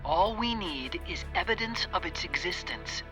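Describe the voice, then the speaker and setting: A man's voice: sits high, expressive, moderate pace, rough recording. A man playing an earnest, nerdy tech partner in a video game, fussy and easily exasperated. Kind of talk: dramatic video game voice acting. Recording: music playing behind the voice.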